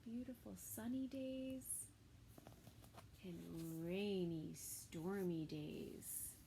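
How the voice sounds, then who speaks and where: A woman reading a picture book aloud to toddlers as a story time reader, with sing-song phrasing and soft asides. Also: fairly low pitch, very slow, vocal fry, a little hiss or hum, low-quality recording.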